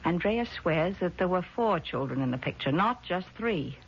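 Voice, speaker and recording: An actress playing a worried, evasive woman in a 1970s radio drama, speaking in a hesitant, measured way. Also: on the low side, lively intonation, breathy edge. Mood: anxious. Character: worried, evasive sister with designs on an estate. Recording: dull, noisy vintage radio recording.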